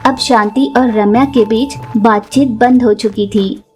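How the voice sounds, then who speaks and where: Woman reading a kids moral story, really high pitched narration, soft music behind. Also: fairly even intonation, measured pace. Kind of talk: scripted children's story narration.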